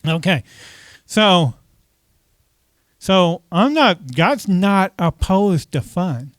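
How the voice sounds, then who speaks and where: A man's voice: high-pitched, big pitch swings, drawn-out words, no room sound, studio quality. A man preaching a conversational sermon as pastor, often asking the congregation questions and saying "okay" between points.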